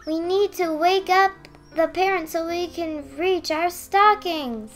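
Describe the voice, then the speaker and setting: A woman playing an excited young child in a radio play about Christmas. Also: very high voice, slow, faint music behind, exaggerated voice.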